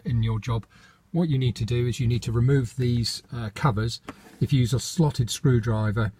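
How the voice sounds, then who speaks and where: A man's voice: medium pitch, darkish tone, breathy edge. A British man presenting an informal hands-on how-to in an unscripted, matter-of-fact, slightly hesitant manner.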